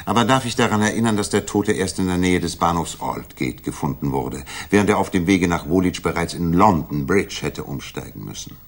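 An actor in a 1960s German radio drama playing a cool, self-assured detective with a measured, dry, precise delivery. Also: male voice, low voice, animated. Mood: analytical, probing. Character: Sherlock Holmes, cool, analytical, self-assured male detective.

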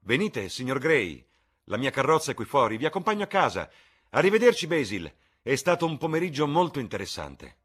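A man voicing a witty, languid aristocratic gentleman in an audiobook, airy and mocking, with a husky voice. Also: mid-range voice, sing-song, a little fast, voice pushed up. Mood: cheerful. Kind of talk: audiobook character voice.

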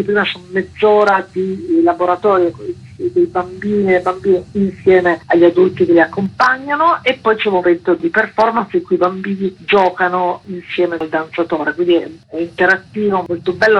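Woman guest on a dull, husky line. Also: lowish voice. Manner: detailed, listing events with fillers. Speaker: theatre company guest. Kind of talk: radio interview, describing a festival program.

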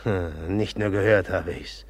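A man's voice: low voice, steady tone, measured pace, dry recording. An actor playing a brooding, jealous young man in a 1950s radio play, speaking bluntly and with mockery. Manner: blunt, sullen, mocking. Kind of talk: 1950s radio drama acting.

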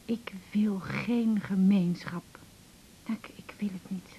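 An actress playing a candid, gently wry and anxious older mother in a radio drama, confiding in a hesitant way. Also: medium pitch, takes it slowly, creaky, slight background noise, rough recording. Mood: anxious, embarrassed. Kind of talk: naturalistic radio drama acting.

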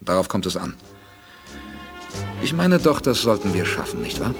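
An actor playing a resolute, calm spaceship commander who gives precise orders in a 1980s radio play. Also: male voice, low-pitched, moderate pace, over loud music. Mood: calm authority.

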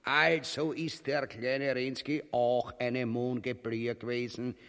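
An actor playing a cowardly, boastful, mock-pompous schemer in a comic radio play, speaking Austrian-Bavarian dialect and swinging between muttering and wailing. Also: male voice, mid-range voice, little pitch movement, slow pace, a bit bright. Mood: mock-pompous boasting. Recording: long hall-like reverb.

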